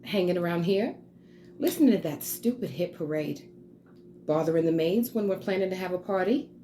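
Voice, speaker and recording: A woman's voice: on the low side, lively intonation, normal speed, warm tone, slight background noise, natural voice. Woman acting stern, imperious society mother. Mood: stern, scolding. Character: stern society mother lecturing her daughter. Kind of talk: theatrical dramatic monologue.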